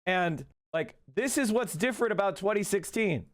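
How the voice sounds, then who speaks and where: High-voiced excited male streamer. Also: moderate pace. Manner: excited, profane, rambling. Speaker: political livestreamer. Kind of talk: casual livestream commentary.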